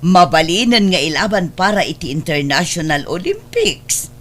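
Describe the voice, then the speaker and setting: A woman's voice: on the low side, very animated, moderate pace, a little hiss or hum. A woman with an Ilocano accent playing an earnest, persuasive character in a family drama. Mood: encouraging. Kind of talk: Ilocano radio-style family drama acting.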